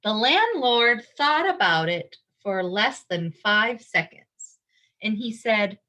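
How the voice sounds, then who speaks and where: A woman's voice: on the high side, expressive, slow, bright, forceful tone. A woman reading a picture book aloud to young children, explaining things simply and emphatically and asking her listeners questions.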